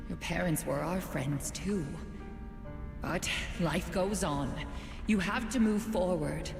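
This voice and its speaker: A woman playing a gentle, pitying villager in a video game. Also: fairly low pitch, slow pace, vocal fry. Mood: sorrowful, coaxing. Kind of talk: scripted game voice acting. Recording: reverberant memory effect over loud music.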